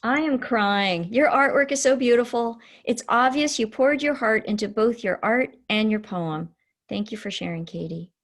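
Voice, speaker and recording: A woman's voice: a little high, moderate pace. Effusive woman hosting an online session. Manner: effusive, gushing praise. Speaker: host of a student symposium session. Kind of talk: casual, encouraging event hosting on video call. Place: online video call.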